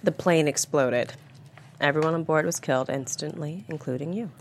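Woman acting a low-voiced mysterious, composed lady. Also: normal speed, a little hiss or hum. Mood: grave, insistent. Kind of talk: table read of a thriller script.

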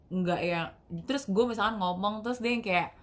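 A young woman with a Betawi-tinged Jakarta accent, co-hosting a casual podcast chat, lively and slangy, often laughing and dramatizing. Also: mid-range voice, normal speed. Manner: animated, laughing, dramatic self-pity, slangy code-mixing. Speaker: podcast co-host.

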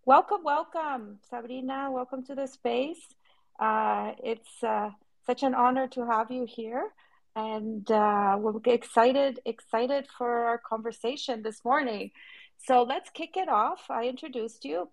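A woman co-hosting an online chat, reading a guest bio aloud and welcoming her warmly. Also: a little high, measured pace. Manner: friendly, reads aloud smoothly. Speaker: co-host.

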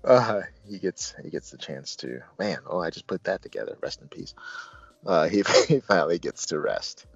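A man hosting a casual movie discussion, retelling scenes in an earnest, halting, colloquial fan's way. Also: sits low, expressive, slightly rough, dry recording. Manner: halting, earnest fan talk with colloquial asides. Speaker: movie reviewer and show host. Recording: dull lo-fi audio with faint music. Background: faint music.

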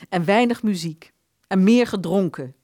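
A woman narrating a literary radio play from a script in an expressive storytelling manner. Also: mid-range voice, normal speed, no echo, clean studio sound.

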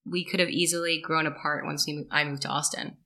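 A woman's voice: deep voice, level tone, fast, pushed and bright. A woman from Israel co-hosting a podcast, chatting casually and telling stories with lots of fillers. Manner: chatty, storytelling, many fillers. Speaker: podcast co-host. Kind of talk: casual chat.

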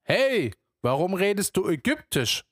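A man putting on a bystander in a crowd, shouting in a strained, high, loud voice. He sounds challenging and indignant. The recording is dry and close to the mic. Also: big pitch swings, unhurried, no echo, heavily acted.

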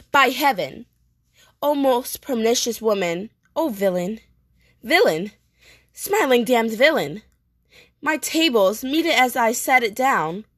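A woman playing an anguished, vengeful young prince in a declamatory Shakespearean stage monologue with dramatic pauses. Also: on the high side, no echo, clean studio sound. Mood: bitter, outraged. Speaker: performer rehearsing a soliloquy. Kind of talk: theatrical Shakespearean monologue, repeated takes.

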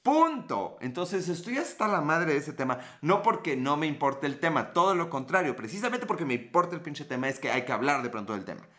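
A man's voice: on the high side, sing-song, a little fast, hardly any pauses, sharp tone. A Mexican man with a Mexican accent hosting a casual livestream, greeting viewers by name and joking with profanity and sarcasm. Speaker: livestream host.

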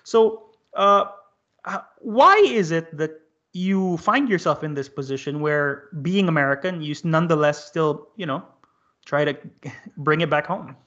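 A man's voice: a little high, measured pace, a bit bright. A man hosting a podcast interview, speaking thoughtfully with lots of "like" and "uh". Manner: thoughtful, filler-heavy with "like" and "uh". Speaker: podcast host. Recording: muffled lo-fi audio.

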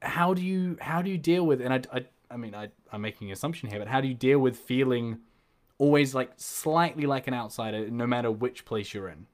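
This is a man with a New Zealand accent who hosts a relaxed conversation. He asks careful, searching questions and thinks aloud as he phrases them. His voice sits at a middle pitch. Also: a little fast. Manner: thoughtful, asks probing questions. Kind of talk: casual conversation.

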